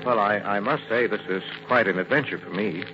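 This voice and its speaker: An actor playing a nervous, evasive middle-aged professor, hesitant and stammering, in 1940s radio drama style. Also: male voice, lowish voice, brisk, faint music behind, close to an everyday voice. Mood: uneasy, evasive. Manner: hesitant, stammering, apologetic, uneasy chuckles. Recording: muffled, noisy old radio broadcast.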